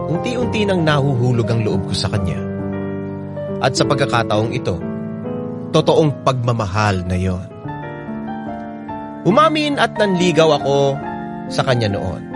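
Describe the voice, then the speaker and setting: An actor narrating in first person as a young man recounting a painful love affair, confiding directly to the listeners. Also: mid-range voice. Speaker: narrator telling his own story. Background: loud music.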